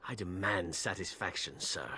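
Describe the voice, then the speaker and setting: An actor playing a stern, honour-bound male knight in a video game, formal and accusing, with a husky voice. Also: deep voice, normal speed, pushed and bright, a little hiss or hum. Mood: indignant, stern.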